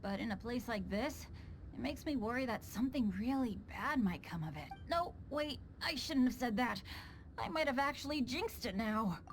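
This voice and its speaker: Animated, earnest teenage boy adventurer. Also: fairly high pitch, normal speed, slight background noise. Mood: guilty, worried. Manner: earnest, youthful. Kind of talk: video game cutscene voice acting.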